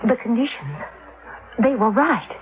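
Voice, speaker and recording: An actress playing an earnest, credulous, excitable woman in a vintage radio drama, speaking emphatically and insistently. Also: a little high, unhurried. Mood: excited, earnest. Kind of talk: 1950s radio drama acting. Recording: tinny, noisy old radio broadcast.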